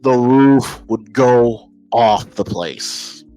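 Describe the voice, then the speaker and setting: A man's voice: mid-range voice, drawn-out words, warm tone, no echo, soft background music, poor audio. An American man giving casual, emphatic opinion commentary as a podcast host, informal and often repeating phrases as he thinks aloud.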